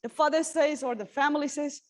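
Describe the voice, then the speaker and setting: A woman from Syria giving an earnest, halting personal talk at a workshop, with many restarts and fillers. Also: high voice, steady tone. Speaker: conference speaker. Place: conference hall.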